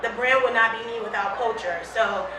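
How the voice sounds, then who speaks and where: Woman from Liberia, chatty founder, high voice, far-off echoey room. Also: normal speed. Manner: chatty, animated, with restarts and quoted asides. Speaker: brand founder. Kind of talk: casual interview chat.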